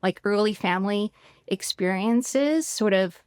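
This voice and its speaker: A woman's voice: mid-range voice, measured pace, a little mellow, clear, resonant tone, no echo, studio quality. A middle-aged woman hosting a podcast in a conversational interview style over a video call. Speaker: podcast host. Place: indoor room at home or office, video call.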